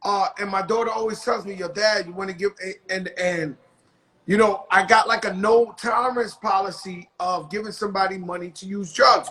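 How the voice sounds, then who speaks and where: A man's voice: high-pitched, bright, forceful tone. A man from the South Bronx, hosting a casual interview in blunt street slang. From South Bronx, New York. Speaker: host.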